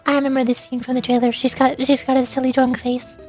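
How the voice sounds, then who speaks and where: A woman with a high, light voice chats to her stream through a desk mic at home. She rambles and pokes fun at herself. Soft game music sits faintly underneath. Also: level tone, fast talker. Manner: chatty, rambling, self-deprecating. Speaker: VTuber streamer. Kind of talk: casual stream chat while voice acting over a game. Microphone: USB desktop microphone.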